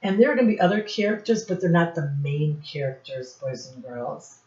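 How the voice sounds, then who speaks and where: A woman teacher reading a picture book aloud to young children in deliberate, separated phrases, asking them questions as she goes. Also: low voice, expressive, a little mellow. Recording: dull, muffled lo-fi recording.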